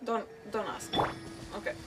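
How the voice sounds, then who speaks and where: A woman streaming a game with casual commentary, muttering and repeating herself. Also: measured pace. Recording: distant mic under loud game music.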